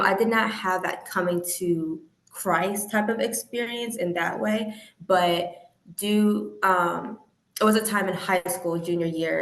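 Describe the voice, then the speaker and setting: A woman's voice: lowish voice, creaky. A young woman from the American Midwest giving an earnest, reflective personal testimony as an interview guest on an online call. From Indiana, American Midwest. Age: young adult. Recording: roomy, echoey call audio.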